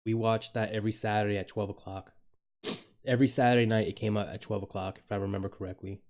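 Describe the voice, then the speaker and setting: A man's voice: lowish voice, fairly even intonation, fast talker, darkish tone. Male streamer, casual, loose and swears easily, laughs a lot while chatting with viewers on a home desk mic. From Dominican Republic. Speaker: live streamer.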